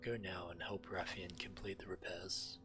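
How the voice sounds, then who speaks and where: A man with a very low voice reads quest text aloud as a game streamer. Game music plays clearly behind him. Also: little pitch movement, moderate pace.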